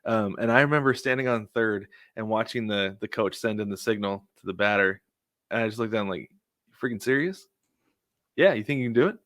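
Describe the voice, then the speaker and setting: A man's voice: on the low side, fairly quick, fairly bright tone, no echo, studio quality. A man co-hosting a sports podcast, telling casual stories and acting out what people said. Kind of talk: casual baseball chat with anecdotes.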